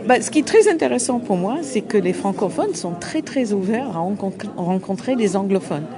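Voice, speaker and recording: A middle-aged woman, an English speaker, answering interview questions at an open day, halting and searching for words with frequent restarts. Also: mid-range voice, lively intonation. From United States. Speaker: association representative. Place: busy open-day event. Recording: crowd noise behind. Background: crowd chatter.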